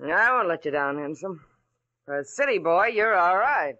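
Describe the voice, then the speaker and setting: An actress playing a tough, sardonic middle-aged woman who runs a rough barroom, brassy and bossy in 1940s radio drama style. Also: on the low side, lively intonation, normal speed, pushed and bright, dull audio. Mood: dry, wry. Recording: old radio recording, crowd noise behind.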